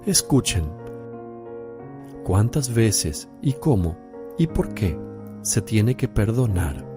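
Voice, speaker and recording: An actor playing a calm, solemn male religious teacher who speaks in deliberate phrases, in a radio drama. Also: on the low side, music in the background. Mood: solemn, instructing. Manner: slow, deliberate, preaching phrasing.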